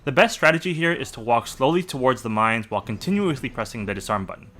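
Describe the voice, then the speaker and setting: A man's voice: medium pitch, expressive, quick pace, fairly bright tone. A man giving informative gameplay commentary, explaining things methodically as a walkthrough narrator and adding his own opinions.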